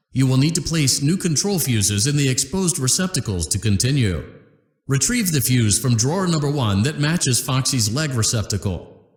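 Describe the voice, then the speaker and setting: A man's voice: medium pitch, roomy sound, lightly acted. A man voicing an upbeat corporate repair-training instructor in a game, giving polite, cheerful step-by-step instructions.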